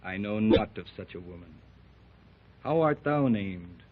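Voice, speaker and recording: Brief: a grave masked warrior chief, slow and archaic, on a tinny old radio recording. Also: male voice, fairly low pitch, lively intonation. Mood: solemn. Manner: slow, formal and archaic, with thee and thou. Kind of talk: 1930s radio drama acting.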